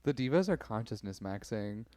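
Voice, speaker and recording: A man's voice: lowish voice, expressive, moderate pace, a little mellow, dry recording. A man chatting casually as a podcast panelist, with a slangy, campy way of talking. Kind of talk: casual chat.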